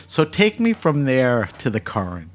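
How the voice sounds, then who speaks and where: A man hosting a wine interview show, brisk and friendly as he prompts his guest. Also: mid-range voice, wildly swooping pitch. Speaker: wine podcast host. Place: radio studio.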